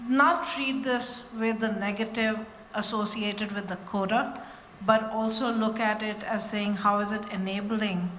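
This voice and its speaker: A woman's voice: medium pitch, fairly bright tone. A woman in the audience making an earnest, persuasive comment from the floor. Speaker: audience member. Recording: echoey hall with faint noise.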